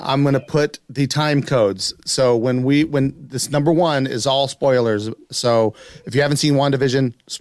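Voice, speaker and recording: A man's voice: medium pitch, dry recording, studio quality. A man hosting a podcast in a casual, unscripted chatting style. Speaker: podcast host.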